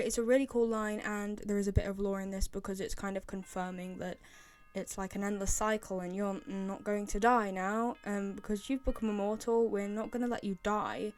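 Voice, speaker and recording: A woman's voice: mid-range voice, normal speed, a little mellow. A young woman with a British accent giving casual opinion commentary as a video host, often repeating herself for emphasis. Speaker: video host, game fan.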